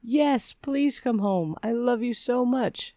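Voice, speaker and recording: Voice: medium pitch, slow pace, warm tone, no room sound, clean studio sound, pitched above the performer's own voice. A narrator voicing a lovesick, guilt-tripping inner voice, dramatic and accusing, in a personal story. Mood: pleading.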